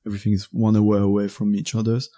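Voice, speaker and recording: A man in his thirties with a Belgian French accent, a native French speaker chatting in English as a podcast guest, pausing often to search for words. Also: fairly low pitch, monotone, mellow. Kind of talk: casual conversational interview.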